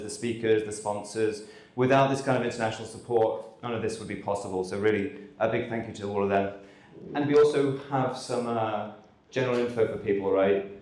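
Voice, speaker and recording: Male host, mid-pitched, casual stage remarks, roomy hall sound. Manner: relaxed, conversational, with frequent ums. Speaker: conference host. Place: conference hall. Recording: echoey hall.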